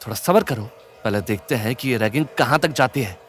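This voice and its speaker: A man's voice: mid-range voice, fairly quick, voice pushed down, natural voice. A man voicing a cautious, wry young student who scolds and reasons like a sensible friend in an audio story. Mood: wry caution.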